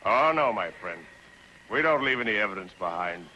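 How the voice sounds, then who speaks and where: An actor playing a cold, mocking outlaw, curt and menacing, in an old television western. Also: male voice, lowish voice, expressive, fairly quick, pushed and bright, muffled recording, slight background noise. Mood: cold, controlled. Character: cold, mocking male outlaw claim-jumper. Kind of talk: 1950s television western acting. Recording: old TV soundtrack with music behind.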